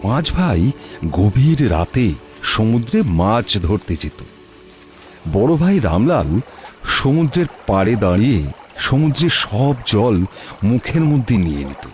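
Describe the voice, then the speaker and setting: Slow, animated male storyteller. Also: fairly low pitch. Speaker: narrator. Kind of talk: scripted folk-tale narration for a cartoon. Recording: music behind the voice. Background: background music.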